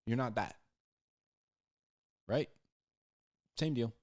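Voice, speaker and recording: A man's voice: medium pitch, extremely slow. A man giving casual, conversational Bible teaching in slangy, informal speech, repeating words for emphasis and acting out little dialogues. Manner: acts out imagined back-and-forth dialogues.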